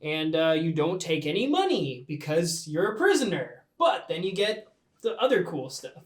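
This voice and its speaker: High-voiced, chatty young man. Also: very animated, normal speed. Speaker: player. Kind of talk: casual tabletop game play. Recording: echoey room.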